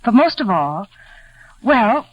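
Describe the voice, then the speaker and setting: A woman playing a sweet, eager young newlywed wife, gentle and polite, in 1940s radio drama style. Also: on the high side, sing-song, slow, heavily acted. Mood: happy. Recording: dull vintage radio broadcast.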